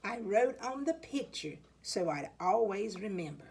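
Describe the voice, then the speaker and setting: A woman reading a rhyming children's picture book aloud, with deliberate, dramatic phrasing for young listeners. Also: mid-range voice, sing-song, gravelly, a little mellow, slightly rough. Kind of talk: expressive storybook read-aloud in rhyme.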